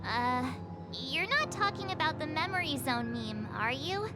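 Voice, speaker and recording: A woman playing a bubbly, naive teenage girl adventurer in a video game, perky and expressive. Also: sits high, a little slow, over loud music. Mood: puzzled. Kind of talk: video game character acting.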